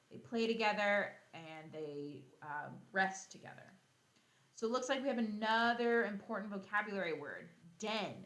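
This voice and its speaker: Female elementary teacher for kids' read-aloud: animated pitch, explanatory asides, roomy echoing recording. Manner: expressive, explanatory, thinks aloud for young pupils.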